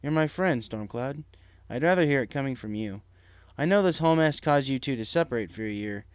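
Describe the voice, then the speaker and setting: A man's voice: mid-range voice, lively intonation, a little fast, clear, resonant tone, no room sound, natural voice. A man voicing a frank, supportive pony workmate in a storybook, direct and probing. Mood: probing, concerned. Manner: direct, probing, plain-spoken. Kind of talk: storybook character reading.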